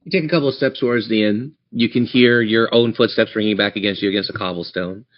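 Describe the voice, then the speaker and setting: A man's voice: fairly low pitch, fast talker, dry recording, lo-fi sound. A man narrating a fantasy tabletop game dramatically as game master.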